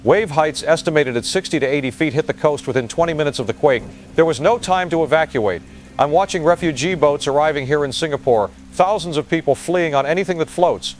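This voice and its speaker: A man's voice: a little high, fairly quick, a little hiss or hum, close to an everyday voice. An actor playing a shaken male field correspondent reporting in an urgent, grave manner. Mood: grave urgency. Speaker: news correspondent. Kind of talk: audio drama news report.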